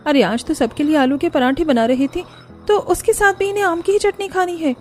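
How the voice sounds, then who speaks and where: An overworked young daughter-in-law in a cartoon, acted by a woman. She is dutiful but grumbles, in a high-pitched voice over music. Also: fairly quick. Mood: exasperated. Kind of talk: cartoon family drama dialogue.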